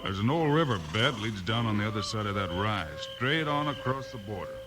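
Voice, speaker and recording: An actor playing a calm, decisive American lawman hero in a 1950s radio western. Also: on the low side, fairly quick, over music, lightly acted. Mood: calm planning. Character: Wild Bill Hickok, steady heroic western lawman. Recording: noisy vintage radio recording.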